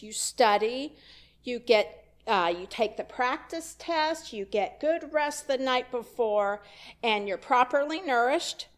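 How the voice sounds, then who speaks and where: Lively, slow-spoken woman minister. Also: sits high. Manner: conversational storytelling with wry asides. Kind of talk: sermon. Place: church sanctuary.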